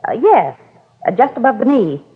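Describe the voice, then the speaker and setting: A woman's voice: fairly high pitch, sing-song, normal speed, slightly rough, exaggerated voice. An actress playing a chatty older female neighbor who rambles on about her cat, in 1950s radio drama style. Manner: rambling, gossipy. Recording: tinny old radio broadcast.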